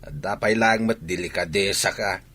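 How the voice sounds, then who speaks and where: Man playing a forceful, indignant, stubborn father. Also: low voice, normal speed, husky, full character voice. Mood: scornful. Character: indignant, stubborn father ashamed of his child. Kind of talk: radio drama acting.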